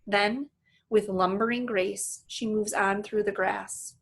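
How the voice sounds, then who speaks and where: A woman reads a children's story aloud with lots of expression. Her voice has a slightly husky grain, and she takes her time over the sentences. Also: medium pitch, slow pace. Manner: animated, acts out the dialogue. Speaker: reader reading a children's book aloud. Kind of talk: expressive read-aloud narration with asides to listeners.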